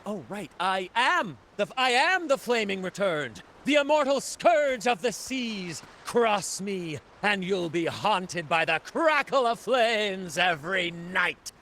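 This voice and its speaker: A man's voice: high voice, big pitch swings, takes it slowly, few pauses, pushed and bright, slight background noise, full character voice. A man playing a flamboyant, cocky pirate rogue in a video game, with a playful, mocking, grandiose manner. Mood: menacing bluster. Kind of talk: video game character acting.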